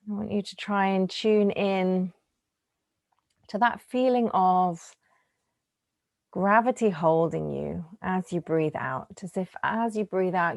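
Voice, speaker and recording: A woman teaching yoga nidra, guiding relaxation in a gentle, soothing, unhurried manner with lingering pauses. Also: on the low side, vocal fry, no echo. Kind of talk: guided relaxation meditation.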